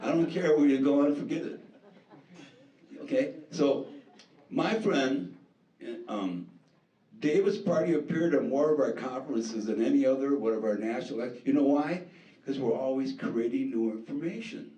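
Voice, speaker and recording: Playful conference host in an echoey hall. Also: male voice, medium pitch, moderate pace, dark tone, dull audio. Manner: playful, teasing, informal. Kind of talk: joking introduction. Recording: echoey hall, distant mic.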